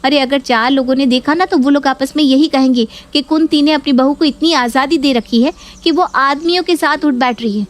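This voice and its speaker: A woman's voice: high-pitched, a little fast, few pauses, pitched above the performer's own voice, natural voice. A woman doing a scheming, complaining young daughter. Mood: accusing. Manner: complaining, accusing tone. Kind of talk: Hindi audio story acting.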